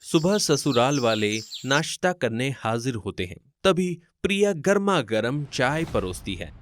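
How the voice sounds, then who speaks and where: Animated male storyteller with swooping pitch. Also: unhurried. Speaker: narrator. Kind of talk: scripted dramatic story narration.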